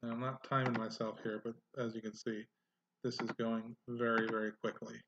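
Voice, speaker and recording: A man of ordinary pitch talks through a software walkthrough, thinking aloud and pausing on "uh" and "um." His home recording is dull and lo-fi, with steady noise and the clicks and typing of a computer behind him. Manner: thinks aloud with frequent fillers. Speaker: genealogy tutorial host.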